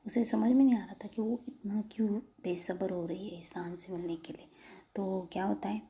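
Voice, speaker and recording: Soft-spoken woman whispering a story. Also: mid-range voice, fairly even intonation, moderate pace, a little hiss or hum. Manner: soft, breathy, half-whispered narration. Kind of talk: audio story narration.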